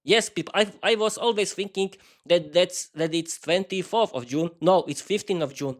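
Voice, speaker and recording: Man in his 30s, native Slovak, enthusiastic podcast host, halting speech, repeats words. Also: high voice. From East Slovakia. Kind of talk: improvised solo podcast monologue.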